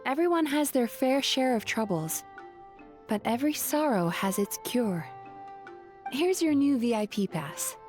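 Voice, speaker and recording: An actress voicing a composed, earnest young businesswoman in a video game, speaking in a calm, measured, sincere way. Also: a little high, soft background music.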